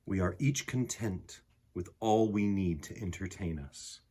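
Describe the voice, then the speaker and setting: A man reading a picture book aloud to children, gently addressing his young listeners with a husky voice. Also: low voice, unhurried. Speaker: storyteller, picture book reader.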